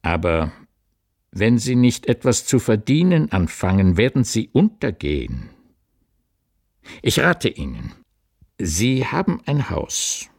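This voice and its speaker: A stern older lawyer in a radio play, recorded close and dry. His voice is low and animated, bearing down with blunt, deliberate warnings. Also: vocal fry, no echo, heavily acted. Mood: urgent, admonishing. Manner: emphatic, admonishing, deliberate.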